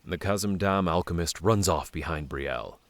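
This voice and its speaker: A man's voice: low-pitched, moderate pace, dry recording. A man narrating a fantasy audio drama in a scripted storybook style. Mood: measured.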